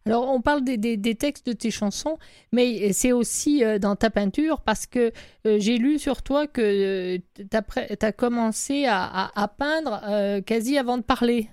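A woman's voice: on the high side, a little slow, a little mellow, smooth, dry recording, studio quality. A woman co-hosting an interview, enthusiastic and hesitant, with many fillers and restarts. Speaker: interviewer, co-host. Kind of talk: casual interview conversation.

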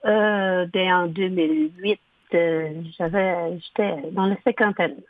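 A woman in her sixties, a native English speaker from Ottawa, speaking French as a guest with a slow, halting manner and frequent throat clearing. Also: on the low side, fairly even intonation, dry recording. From Ottawa, Canada. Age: 60s. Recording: tinny phone line.

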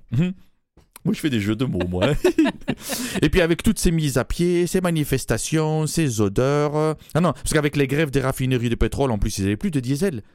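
A man's voice: a little high, a little mellow, no echo, studio quality. A man voicing a brash, mannish woman from Toulouse, a former rugby player, with a southern French accent, bawdy and punning, chuckling at his own jokes in a radio comedy sketch.

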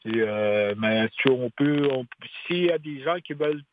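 Halting man on a tinny phone line. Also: mid-range voice, lively intonation, slight background noise. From Quebec. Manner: hesitant, many restarts and fillers. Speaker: singer-songwriter guest. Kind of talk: radio interview.

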